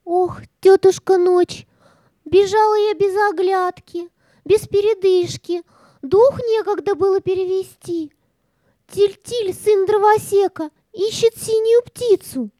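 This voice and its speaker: Very high-pitched, agitated sly cat. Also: female voice, fairly even intonation, slow pace, mellow, no echo. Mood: breathless urgency. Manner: dramatic, urgent storytelling delivery. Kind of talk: children's audio play acting.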